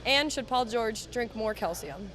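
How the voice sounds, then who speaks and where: A woman in her twenties putting a halting question to a panel in a sports bar. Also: fairly high pitch, lively intonation, a bit bright, soft background music. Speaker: audience questioner.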